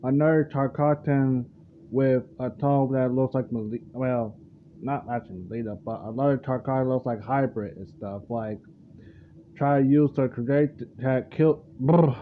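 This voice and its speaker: A man reacting casually off the cuff, rambling and halting as he circles back to explain himself. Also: mid-range voice. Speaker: reaction video host. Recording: muffled lo-fi mic with clear background noise.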